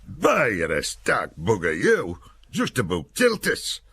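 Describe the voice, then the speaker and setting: A man acts out a blunt Cumbrian infantryman for a war memoir audiobook. He is cocky and grumbling, with a gravelly, husky voice that swings up and down as he talks in thick dialect. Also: on the low side, huge pitch swings, a little slow, pushed and bright, pitched above the performer's own voice, heavily acted. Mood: grumbling, indignant. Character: young British soldier Nick, blunt, cocky Cumbrian veteran of jungle war.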